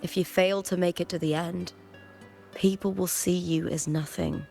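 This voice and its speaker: A woman's voice: lowish voice, steady tone, normal speed, creaky, warm tone. An actress voicing a confident, friendly and earnest young female warrior in a video game. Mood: earnest, reflective. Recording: music under the voice.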